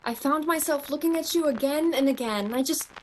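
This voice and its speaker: A woman acting in a radio play as a romantic, earnest young shop clerk, expressive and theatrical. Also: high voice, a little fast, a little hiss or hum, lightly acted, rough recording. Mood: confiding, earnest. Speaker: amateur actor.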